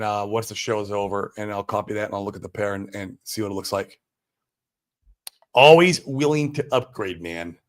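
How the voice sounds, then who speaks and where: An American man hosting a livestream, chatting casually and answering viewers in a slangy, exclamatory way. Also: on the low side. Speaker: livestream host. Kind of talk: casual chat, answering viewer comments live.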